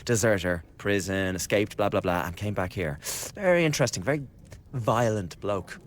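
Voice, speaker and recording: Man acting a glib, low-voiced scheming conspirator. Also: moderate pace, a little hiss or hum, lightly acted. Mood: mock-sorrowful, sly. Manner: chatty, insinuating, blackly flippant. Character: glib, scheming young male revolutionary conspirator. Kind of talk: radio drama acting. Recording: studio radio drama with background ambience.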